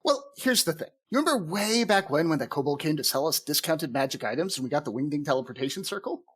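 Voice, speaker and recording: A man's voice: a little high, very animated, fast, breathy edge. A man playing a bumbling, obsessive head gnome who rambles eagerly in a comedic fantasy audio drama. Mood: eager, confessional.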